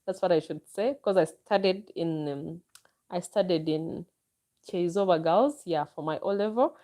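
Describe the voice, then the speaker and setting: Warm, low-voiced Ugandan woman chatting. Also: normal speed. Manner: enthusiastic, chatty, with frequent exclamations. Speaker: vlogger answering viewer comments. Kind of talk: casual live chat.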